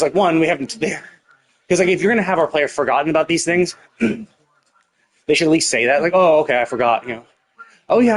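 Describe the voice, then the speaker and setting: A man giving casual, speculative game commentary as a live streamer, thinking aloud and often rephrasing himself. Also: fairly high pitch.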